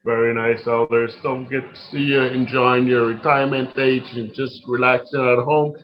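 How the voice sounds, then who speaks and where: A man's voice: medium pitch, level tone, moderate pace, muffled recording, a little hiss or hum. A man hosting an interview, asking questions in a polite style. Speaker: interviewer, host.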